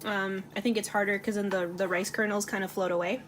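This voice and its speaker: A woman's voice: mid-range voice, steady tone, a little fast. A woman hosting a casual home cooking video, chatting with lots of fillers as she stirs a pot. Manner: chatty, many fillers, talks while stirring. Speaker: cooking channel host. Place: home kitchen. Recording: audible kitchen noise. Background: frying and stirring sounds.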